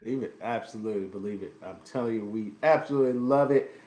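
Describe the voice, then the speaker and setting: A man's voice: fairly low pitch, fast, warm tone. Hyped male show host, roomy sound. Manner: hyped, casual slang. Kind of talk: energetic show hosting. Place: home room. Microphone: video call. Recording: roomy video call audio.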